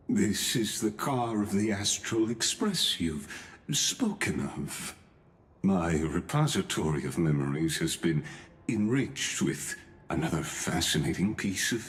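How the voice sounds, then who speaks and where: A humble, learned retainer in a video game. His voice is low and unhurried, with expressive rises and falls, and he speaks with gentle, formal deference. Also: exaggerated voice. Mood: courteous, intrigued. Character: courteous, scholarly, humble male retainer with gentle temper. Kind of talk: video game character acting.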